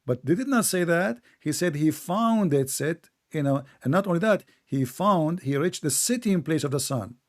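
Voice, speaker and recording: A man's voice: fairly high pitch, lively intonation, moderate pace, hardly any pauses. A man who is a native Arabic speaker with an Arabic accent, debating religion live as host, insistently questioning and repeating his points.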